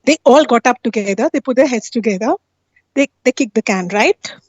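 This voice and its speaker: A woman with a high voice talks through an explanation in a casual, insistent way, regularly tagging her points with questions for agreement. The audio is dry and somewhat dull, like a lo-fi call. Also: lively intonation, a little fast, a little mellow, slightly rough, no room sound. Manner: insistent, often seeks agreement with tag questions. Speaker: guest on a live talk stream.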